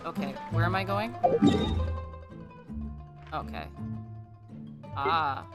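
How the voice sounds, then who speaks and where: A woman streaming a game, giving casual, halting reactions and musing aloud with little exclamations. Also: sits low. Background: game music.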